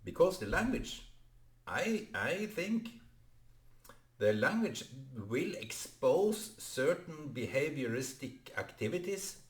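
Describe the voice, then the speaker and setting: Slow Norwegian-accented man vlogging. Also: on the high side, big pitch swings, roomy sound. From Norway. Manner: halting, many fillers and restarts. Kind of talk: unscripted solo vlog monologue.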